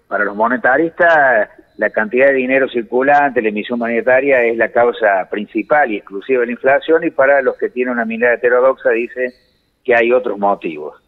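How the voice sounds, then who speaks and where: An Argentine man giving measured economic explanations in a phone interview, pausing to search for words. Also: mid-range voice. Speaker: economics commentator, phone guest. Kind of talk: explanatory radio-style interview answers. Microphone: telephone. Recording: reverberant phone call feed.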